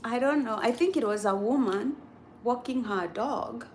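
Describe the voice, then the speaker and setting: A woman's voice: a little high, moderate pace, a little hiss or hum. A woman from East Africa chatting live with viewers, laughing often and teasing their guesses, with a sore throat. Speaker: livestream host. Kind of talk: casual live chat with viewers.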